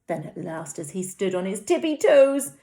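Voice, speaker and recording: A woman with an English accent, a teacher speaking and reading to young children in an expressive, dramatic storytelling way. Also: medium pitch, moderate pace. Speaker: infant school teacher. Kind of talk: reading a picture book aloud to young children.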